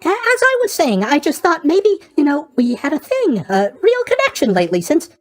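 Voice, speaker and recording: A man's voice: very high voice, huge pitch swings, brisk, full character voice. A man playing a sleepy, flippant young god of sleep in a video game, with a chirpy, scatterbrained, cheerfully tactless delivery. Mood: flustered, shy. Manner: chirpy, scatterbrained, mock-sympathetic delivery with stammers and trailing questions. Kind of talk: video game character voice acting.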